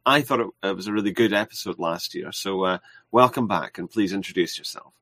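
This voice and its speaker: A man hosting a livestream interview, talking in a halting way with many fillers and restarts. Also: low-pitched, pushed and bright, no room sound. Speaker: livestream host. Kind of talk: casual interview chat.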